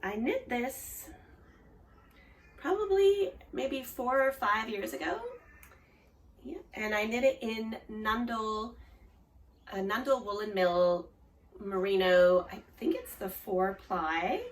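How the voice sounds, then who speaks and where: Chatty Australian woman, a bit hoarse. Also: on the high side, slow pace, long pauses, far from the mic, low-quality recording. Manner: rambling, chatty, many ums and restarts. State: slightly hoarse, recovering from a cold. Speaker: knitting podcast host. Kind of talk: casual show-and-tell chat.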